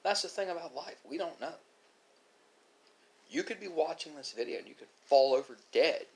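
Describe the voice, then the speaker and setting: A man delivering a reflective monologue, speaking philosophically with dramatic pauses and emphasis. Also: a little high. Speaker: video creator.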